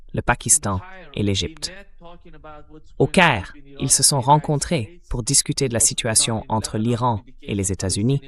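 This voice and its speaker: A man's synthetic voice works as an interview host. It sits at a middle pitch and delivers news in the manner of a narrated summary. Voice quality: synthetic text-to-speech voice.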